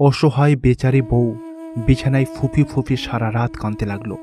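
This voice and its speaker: A man's voice: medium pitch, steady tone, fairly quick. A man reading scripted narration for a cartoon fairy tale as its storyteller. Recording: background music under the voice.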